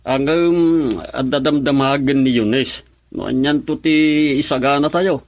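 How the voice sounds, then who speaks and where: A man's voice: on the high side, fairly even intonation, measured pace. A man playing an easygoing middle-aged father in an Ilocano radio drama, with an Ilocano accent. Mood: pleased.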